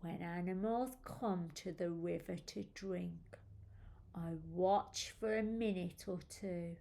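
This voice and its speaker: A woman voicing a sly, greedy, lurking crocodile from a children's storybook, building suspense in measured rhyme. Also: fairly low pitch, steady tone, creaky, dark tone, voice pushed down. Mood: sly, relishing. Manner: measured, suspenseful rhyming delivery.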